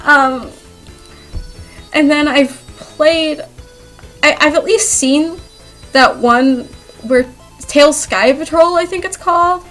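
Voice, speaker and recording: High-voiced young woman rambling casually over music. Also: slow pace, pauses often. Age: young adult. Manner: giggly, animated, self-deprecating. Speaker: YouTube artist telling personal stories. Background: background music.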